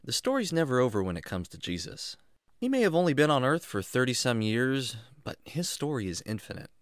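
A man's voice: medium pitch, brisk, dry recording, studio quality, natural voice. A man playing a kindly, enthusiastic jungle man who teaches patiently and expressively in a children's radio drama. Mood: gentle, reflective. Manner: expressive, reassuring, explanatory.

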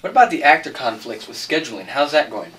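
A man's voice: mid-range voice, big pitch swings, fast talker, a bit bright. A man asking questions in a casual style as an interviewer. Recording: distant mic, faint room noise.

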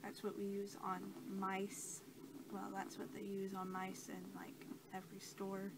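A young woman doing soft-spoken ASMR roleplay as a scientist, talking in a casual, rambling, hesitant way. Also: medium pitch, monotone, a little slow. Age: young adult. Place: small cluttered room indoors. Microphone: camera mic at about arm's length. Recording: clearly noisy amateur camera audio.

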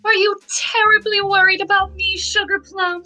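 A young actress playing a scheming, sugary gold-digger bride in a theatrical, cooing old-time radio mystery style. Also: female voice, very high voice, little pitch movement, normal speed, sharp tone, faint music behind, exaggerated voice. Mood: sugary menace. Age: young adult. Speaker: actor. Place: indoors, dark backdrop. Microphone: video call.

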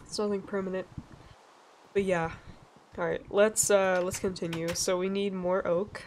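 A young woman from Sweden with a stuffy, nasal voice, chatting casually and laughing as she narrates a relaxed gameplay session. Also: medium pitch, normal speed, a little hiss or hum. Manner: chatty, rambling, laughs often. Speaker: let's play gamer.

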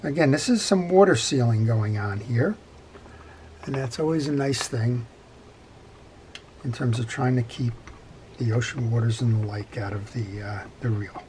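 A man's voice: mid-range voice, unhurried, long pauses, a little mellow, slight background noise, rough recording. A middle-aged man giving an unscripted hands-on repair tutorial in a methodical, plain-spoken way at an indoor workbench. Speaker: fishing reel repair instructor.

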